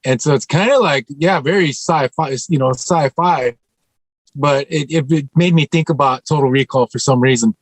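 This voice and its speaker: A man's voice: on the high side, normal speed, no echo. A man chatting casually as an actor on a video call panel, conversational and repeating phrases for emphasis. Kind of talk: casual chat.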